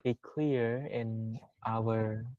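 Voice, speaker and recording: A man leading a guided meditation as a teacher, speaking gently and unhurriedly with long pauses. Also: on the low side, steady tone, no room sound, phone-quality sound.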